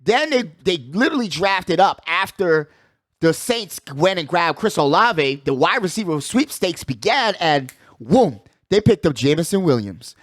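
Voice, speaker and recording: A man's voice: sits high, sing-song, measured pace, fairly bright tone, dry recording, studio quality. A man hosting a sports show, talking casually with strong opinions in a slangy, emphatic, conversational way, often repeating his phrases.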